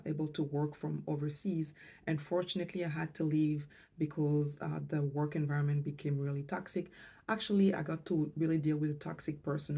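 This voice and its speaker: Casting: middle-aged female vlogger, mother tongue, deep warm voice, hesitant and reflective delivery. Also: vocal fry, slightly rough. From Canada, moved there with parents, lived in Montreal. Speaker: financial coach and vlogger. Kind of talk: personal vlog talk to camera.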